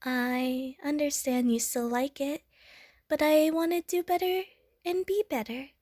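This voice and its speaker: A woman's voice: sits high, fairly even intonation, measured pace, a little mellow, dry recording, clean studio sound. A woman playing an innocent young water slime creature in an audio roleplay, with a childlike, playful, gushing manner. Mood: earnest, sweet. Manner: childlike, playful, gushing, punctuated with little bloop noises. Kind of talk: audio roleplay addressed to the listener.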